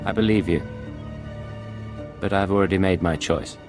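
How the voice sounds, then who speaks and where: An actor playing a cool, terse, dry-witted secret agent in a video game, with a gravelly voice. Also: male voice, deep voice, normal speed, over loud music, close to an everyday voice.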